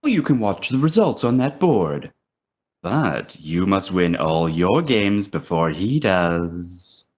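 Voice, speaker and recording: Man playing a sinister, swooping, low-voiced toymaker villain. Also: very animated, slow pace, heavily acted. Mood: smug menace. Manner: theatrical, mock-courteous, taunting. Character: sinister, playful immortal male toymaker villain. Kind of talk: audio drama acting.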